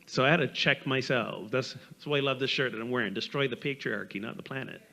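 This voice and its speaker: A middle-aged man with a light Barbadian accent, speaking candidly and reflectively on a panel, in a halting way with repeated words. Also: medium pitch, gravelly, bright, forceful tone.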